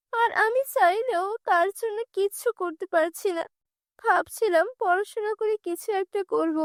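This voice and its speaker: A woman's voice: very high-pitched, dry recording, studio quality, voice pushed up. A woman voicing a poor, brave young village girl in a cartoon fairy tale, earnest and emotional.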